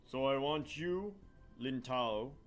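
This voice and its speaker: A genial male professor from an anime visual novel, put on by a man, speaking emphatically and deliberately. The voice is pushed up a little, with music playing behind it. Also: medium pitch, voice pushed up, close to an everyday voice. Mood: earnest, apologetic. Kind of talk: visual novel character read-aloud.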